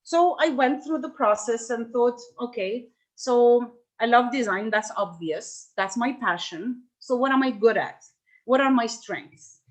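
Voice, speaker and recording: A woman's voice: on the high side, a little slow. A middle-aged woman from Lebanon giving a reflective workshop talk, with frequent restarts and fillers as she thinks aloud. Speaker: workshop speaker.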